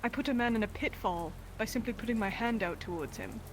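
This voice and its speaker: A woman's voice: on the high side, fast, slight background noise, natural voice, rough recording. Woman playing a defiant chaos mage in rain. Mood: insistent, proud. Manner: defiant, insistent, self-justifying. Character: defiant young chaos mage, proud, hungry for power. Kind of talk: improvised fantasy roleplay acting.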